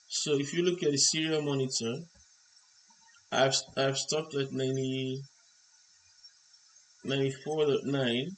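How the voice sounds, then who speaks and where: A man with a mid-range voice presents a hands-on technical tutorial, unscripted. He explains step by step in short, halting phrases. There is noticeable noise behind his voice. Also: medium pitch, low-quality recording. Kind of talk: hands-on electronics demonstration, unscripted. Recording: clear background noise.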